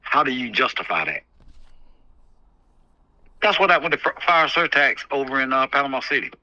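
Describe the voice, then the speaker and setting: Man, emphatic advice-giver, hard forceful tone, repeats himself for effect, muffled phone audio. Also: mid-range voice, lively intonation, fairly quick, no room sound. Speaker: community activist giving advice on a call. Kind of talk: candid phone conversation, advising. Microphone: telephone. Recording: muffled, band-limited phone call.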